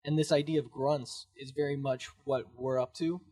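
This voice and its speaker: A man's voice: medium pitch, steady tone, normal speed, warm tone, slightly rough, no room sound. A man guest on a podcast, earnestly explaining startup ideas in casual chat.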